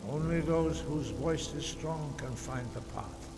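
An actor playing a stern, wise old monk master in a fantasy video game, speaking in a solemn, formal, measured and admonishing way. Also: male voice, fairly high pitch. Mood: grave, resigned. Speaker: game character, mentor. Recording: echoey stone hall, music behind.

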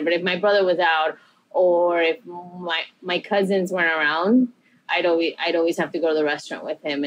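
A woman's voice: deep voice, low-quality recording. A woman from Peru, a chef and interview guest, telling her story in a rambling, self-correcting, upbeat way.

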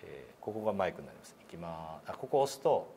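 Low-voiced man giving polite instruction. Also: very animated, fast, warm tone. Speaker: pronunciation instructor demonstrating a learning program. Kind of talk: casual, polite workshop instruction. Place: indoor meeting room. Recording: clear background room noise.

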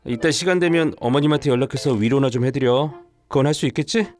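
A man's voice: medium pitch, fast, a little mellow, lightly acted. Man acting a weary, dutiful husband. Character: dutiful, weary husband, devoted son to his mother. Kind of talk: dramatized story video acting. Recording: music behind the voice.

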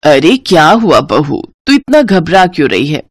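A woman voicing a gentle, doting elderly mother-in-law who soothes affectionately in a cartoon story. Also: sits low, big pitch swings, normal speed, dry recording, studio quality. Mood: tender.

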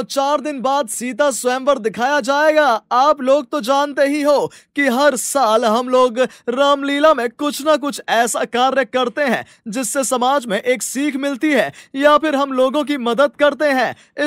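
A man's voice: very high voice, fairly bright tone, dry recording, clean studio sound. A man voicing a cartoon stage announcer at a village play, addressing the public in a formal, declamatory way.

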